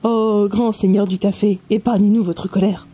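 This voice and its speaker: An actress playing a sly office colleague who tells stories with mock solemnity in an audio comedy. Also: female voice, medium pitch, steady tone, moderate pace, mellow, a little hiss or hum, natural voice. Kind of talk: amateur audio comedy drama.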